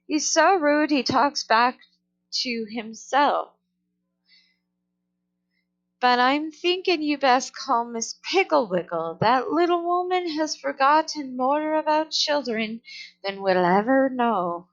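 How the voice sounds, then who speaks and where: A woman's voice: high-pitched, animated, slow, muffled recording, exaggerated voice. A woman voicing a chatty, blunt housemaid in a children's storybook, with folksy, colloquial talk. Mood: blunt, knowing.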